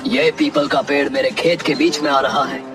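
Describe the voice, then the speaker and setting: Man as a bossy, brisk cartoon village wrestler. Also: medium pitch, flat delivery, over loud music, natural voice. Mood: stubborn. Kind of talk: Hindi cartoon voice acting.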